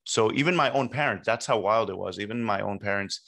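Low-voiced man telling his story. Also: fairly quick, vocal fry, bright, forceful tone. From Puerto Rico and New York. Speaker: podcast guest. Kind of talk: conversational personal storytelling. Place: small home studio room. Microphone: close podcast microphone on boom arm.

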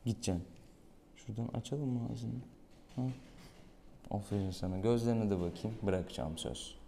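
A man's voice: fairly low pitch, normal speed, warm tone. A man, a vet chatting casually and playfully, affectionately teasing an animal patient in a small exam room. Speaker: veterinarian presenting a clinic video. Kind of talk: casual playful chat with a pet and viewers. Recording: clear room noise behind.

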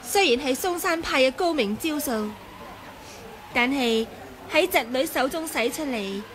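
A woman's voice: high voice, animated, a little slow, fairly bright tone. An actress playing a bold, crafty young swordswoman in a Hong Kong Cantonese radio drama, with polite, artful manners. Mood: feigned humility. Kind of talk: 1980s radio drama acting. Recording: 1980 radio drama, busy sound-effects background.

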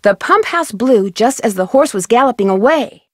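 A woman's voice: fairly high pitch, animated, moderate pace, no room sound, studio quality. A woman voicing a polite, determined young amateur detective in a video game, earnest and reassuring. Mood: curious, earnest.

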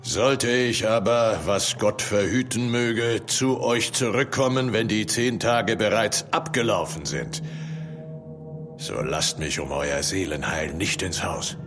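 Slow, very deep stern old patriarch. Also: male voice. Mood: grave, commanding. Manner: solemn, commanding, measured. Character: stern, domineering old father issuing a grave warning. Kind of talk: German horror radio drama acting. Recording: music behind the voice.

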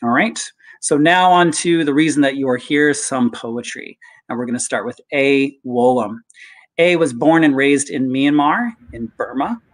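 A man's voice: mid-range voice, normal speed. A man hosting an online poetry reading over a video call, introducing the next reader in a friendly, upbeat way. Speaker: event host.

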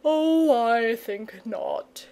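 Storybook dragon, lazy and timid, slow drawl, lower and hazier than the narrator, as a woman puts him on. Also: a little mellow. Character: lazy, languid old male dragon, gentle and timid.

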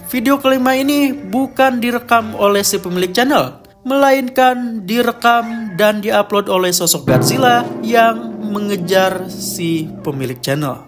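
A man narrating a scripted horror explainer as host, with dramatic storytelling delivery. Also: very high-pitched. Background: music behind the voice.

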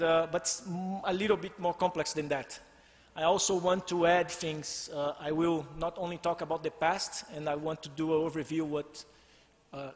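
A man in his forties with a Brazilian accent, giving a tribute talk at a podium and often restarting his phrases. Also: a little high. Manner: halting, with many restarts and fillers. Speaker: conference speaker. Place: large glass-walled hall, indoors. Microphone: podium microphone. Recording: long hall reverb.

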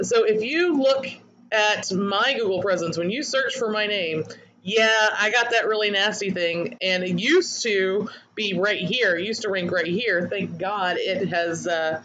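A woman's voice: medium pitch, unhurried, fairly bright tone, muffled recording, a little hiss or hum. A woman coaching online marketers in a webinar, chatty and candid as she reads out attendees' comments. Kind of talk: webinar teaching.

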